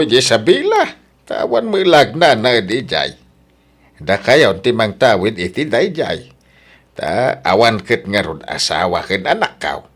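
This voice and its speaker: A man playing a kindly, generous middle-aged uncle in an audio drama, fatherly and deliberate, with an Ilocano accent. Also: lowish voice, heavily acted. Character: kindly, generous uncle giving advice to newlyweds.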